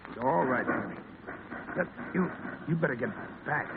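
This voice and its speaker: An actor playing a tough, gruff but loyal American legionnaire in a 1930s radio drama, talking in slangy style. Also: male voice, fairly high pitch, normal speed, phone-quality sound. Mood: weak, wounded. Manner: slangy tough-guy talk. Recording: scratchy, thin 1930s radio recording.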